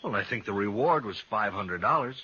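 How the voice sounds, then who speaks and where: Raspy, excitable frontier informant. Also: male voice, fairly low pitch, fairly quick, exaggerated voice. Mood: confiding. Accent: rural American. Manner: folksy, rambling, earnest. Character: folksy, insistent frontier stagecoach informant. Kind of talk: 1950s western radio drama acting. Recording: muffled 1950s radio recording.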